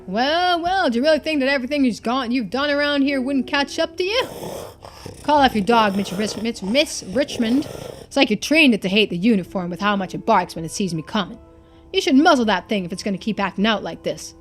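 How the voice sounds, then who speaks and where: A woman's voice: fairly high pitch, lively intonation, fairly quick, soft background music, lightly acted. A woman voicing a scornful, menacing police officer in a mystery game, scolding in a pointed way.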